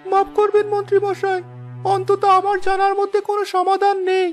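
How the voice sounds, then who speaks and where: A performer as an extremely high-pitched, apologetic physician. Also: completely flat delivery, brisk, soft background music. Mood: regretful. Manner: formal and apologetic. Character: apologetic, formal royal physician.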